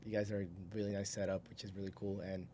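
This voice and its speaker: A man's voice: lowish voice, monotone, fast, dark tone, slight background noise. A man, telling his story casually and informally as an interview guest, in a rambling, easygoing way. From Brazil. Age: 20s. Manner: informal, rambling, chuckles while talking. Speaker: podcast guest, solar salesman.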